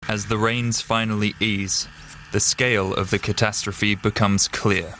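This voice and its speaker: A man's voice: fairly low pitch, flat delivery, measured pace, bright, forceful tone, slight background noise. A man narrating a scripted television news report as a reporter.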